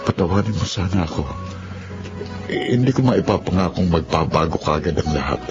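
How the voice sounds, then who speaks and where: An earnest, apologetic husband and son in a radio drama. His voice is very low and his delivery is halting and pleading. The sound is dull and lo-fi, with prominent music underneath. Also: animated. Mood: remorseful, grateful. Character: remorseful dependent husband and son, earnest family man. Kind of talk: Filipino radio drama acting.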